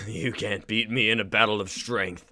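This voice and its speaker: A man voicing a brutish, boastful executioner with a gruff, blustering manner. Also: fairly low pitch, steady tone, normal speed, bright, forceful tone.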